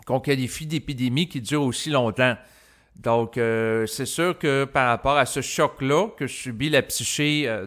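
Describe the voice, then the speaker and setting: Halting Québécois man, reflective monologue. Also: medium pitch, a bit bright. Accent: Québécois French. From Quebec, Canada. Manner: halting, roundabout, with frequent hesitations and long lead-ins. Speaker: host of spiritual self-help recordings. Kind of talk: unscripted reflective monologue to listeners.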